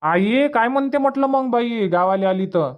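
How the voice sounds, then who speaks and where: A man's voice: very high voice, expressive, moderate pace, dull audio. A man voicing an easygoing young village son in a cartoon comedy, chatty and colloquial, with a rural Vidarbha Marathi accent. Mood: casual, agreeable.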